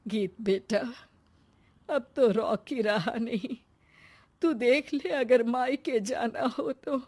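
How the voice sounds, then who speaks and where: A woman's voice: fairly high pitch, slow pace, darkish tone. A woman voicing an aggrieved, stern middle-aged mother-in-law with a weary manner in a family story. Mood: subdued.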